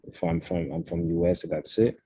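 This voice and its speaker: Deep dark-voiced man. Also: gravelly, breathy edge, no room sound. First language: French. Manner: halting, searching for words, many "uh"s. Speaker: fashion designer guest. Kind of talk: casual interview chat.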